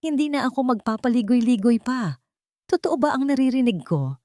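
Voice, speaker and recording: Lady narrator doing a furious holier-than-thou matriarch in an audio story, contemptuous and accusing, pitched up, dry sound. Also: expressive, moderate pace, warm tone, no echo, lightly acted. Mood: cold, demanding. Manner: scolding, contemptuous, cutting. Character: venomous, sanctimonious family matriarch, enraged and accusing.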